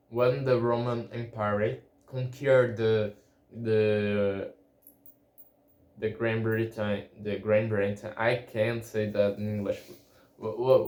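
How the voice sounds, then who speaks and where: A man whose first language is Portuguese, talking casually in a solo vlog about learning a language, halting and restarting often. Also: fairly low pitch, a little mellow. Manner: halting, many restarts and fillers. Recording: echoey room.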